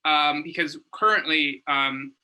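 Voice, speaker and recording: A man speaking informally on an online panel, haltingly searching for words and repeating phrases as he goes. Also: a little high, steady tone, pushed and bright, low-quality recording. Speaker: panelist and community developer. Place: online video call.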